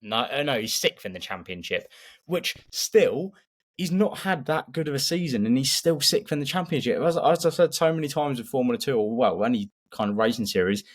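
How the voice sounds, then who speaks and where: Halting, casual male podcast co-host. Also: medium pitch, animated. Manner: halting, repeats words as he thinks aloud. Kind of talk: casual sports podcast chat.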